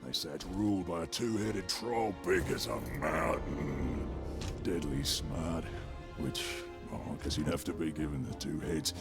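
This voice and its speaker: A man's voice: a bass voice, a little slow, loud music behind the voice. An actor playing a cocky, crude, bloodthirsty orc henchman, chummy and slangy, in a video game. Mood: gruff scheming. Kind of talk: video game character acting.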